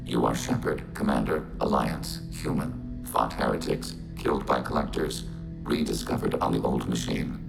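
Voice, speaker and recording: An actor voicing a calm, literal Geth machine that speaks as a collective "we" in a flat, synthetic drone. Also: male voice, extremely low pitch, moderate pace, gravelly, over music, exaggerated voice, low-quality recording. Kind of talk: video game cutscene acting. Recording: game audio with score.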